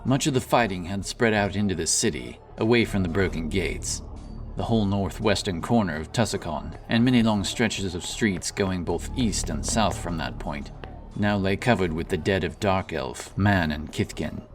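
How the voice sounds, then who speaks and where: Male narrator, very low voice. Solemn, dramatic fantasy storytelling over soft music. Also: moderate pace.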